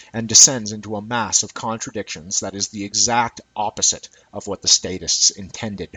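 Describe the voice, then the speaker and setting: Low, husky male narrator. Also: sharp tone, dry recording, low-quality recording. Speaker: reader of an essay. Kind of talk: scripted narration, reading an essay aloud.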